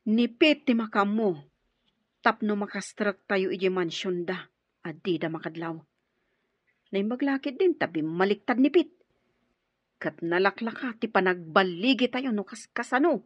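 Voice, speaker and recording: A woman playing a steely, scheming accomplice in a radio drama, commanding and conspiratorial, with a breathy voice. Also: mid-range voice, lively intonation, moderate pace, pauses often, no room sound, lightly acted. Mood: urgent, plotting. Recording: dull, dry radio drama audio.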